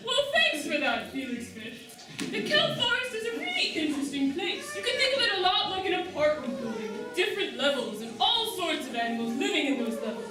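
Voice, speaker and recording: A woman's voice: very high-pitched, big pitch swings, brisk. A woman playing an upbeat manta ray schoolteacher in a children's puppet show, enthusiastic and encouraging as she projects to young listeners. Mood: cheerful, encouraging. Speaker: puppet show teacher and presenter. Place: large echoey room with live audience. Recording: echoey hall, distant, audience crowd behind.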